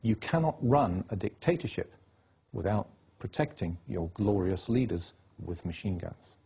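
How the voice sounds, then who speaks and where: A man's voice: on the low side, normal speed, gravelly, dark tone, slightly rough. A man being interviewed, laying out his case insistently and reeling off dates and facts. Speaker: interview guest. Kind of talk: political interview.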